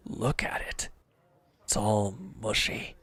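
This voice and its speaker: A man plays a wounded villain in an audio drama, with a low voice. He is bitter and withdrawn, answering in curt, grudging phrases as if guarding himself. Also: level tone, takes it slowly, a bit bright, no room sound, in a whisper, heavily acted. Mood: sullen, evasive. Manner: curt, evasive, grudging, sighing. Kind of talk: scripted audio roleplay acting.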